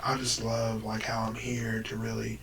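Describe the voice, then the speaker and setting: Deep, damaged-voiced young American man, noisy distant mic. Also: monotone, normal speed, a bit bright. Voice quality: deep, damaged-sounding voice with breathy dropouts. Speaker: vlogger telling personal story. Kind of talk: casual personal storytelling to camera.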